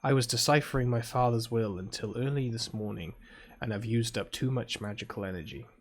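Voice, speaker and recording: A man's voice: medium pitch, brisk, a little hiss or hum, close to an everyday voice. A British man reading aloud as a sleepy, proud teenage girl mage, in a drowsy, grumbling first-person voice. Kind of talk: reading a visual novel aloud.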